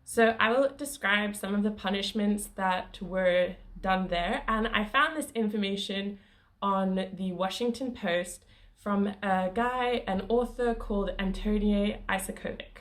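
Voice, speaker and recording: A woman's voice: mid-range voice, a little slow. A woman narrating her travel vlog in a casual, chatty way, with small laughs and fillers. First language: not Croatian. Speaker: travel vlogger.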